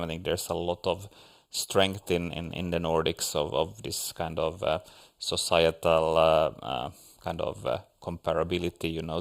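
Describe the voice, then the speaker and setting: A man's voice: deep voice, vocal fry. A man from Finland speaking as a panelist in a seminar discussion, hesitant with many restarts.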